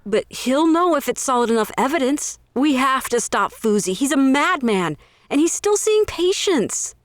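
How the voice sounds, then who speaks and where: A woman playing a determined, compassionate amateur investigator in a naturalistic audio drama, earnest and low-key. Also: sing-song, normal speed, dry recording, studio quality. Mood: urgent, determined.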